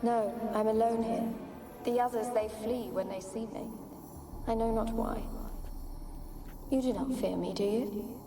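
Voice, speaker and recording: A woman's voice: fairly high pitch, fairly even intonation, a little slow, long pauses. Echoing, sorrowful young ghost noblewoman. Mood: wary, mournful. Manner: archaic, plaintive phrasing. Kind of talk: dramatic video game acting. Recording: echoing, distant ghostly voice over music.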